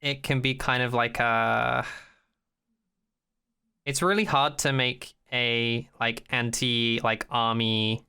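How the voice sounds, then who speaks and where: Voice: mid-range voice, steady tone, slow, bright, forceful tone, smooth. A podcast co-host casually giving critical opinions on a TV show, swearing freely with some dry sarcasm.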